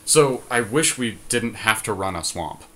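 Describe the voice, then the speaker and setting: A man talking casually through a card game, reasoning and counting aloud in a deliberate way. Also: fairly low pitch, expressive, sharp tone. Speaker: podcast co-host and card game player.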